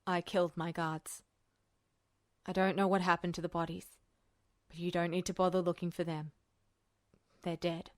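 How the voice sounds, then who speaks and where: A woman's voice: lowish voice, level tone, moderate pace, long pauses, no echo, clean studio sound, pitched below the performer's own voice, natural voice. A woman voicing a guarded, haunted young man with subdued delivery in acted audiobook dialogue. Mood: cold, withdrawn.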